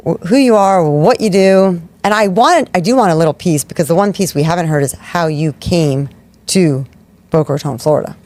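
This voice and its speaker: A woman's voice: lowish voice, normal speed, a little mellow. A woman in her forties hosting a podcast interview, effusive and enthusiastic, affirming her guest often. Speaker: podcast host. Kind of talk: interview conversation.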